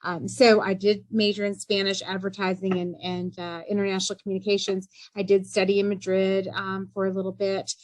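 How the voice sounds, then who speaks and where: Earnest middle-aged woman, measured pace. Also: medium pitch, little pitch movement, rough recording. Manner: measured and earnest, with frequent "you know" and "um" fillers. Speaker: entrepreneur guest. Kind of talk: podcast interview.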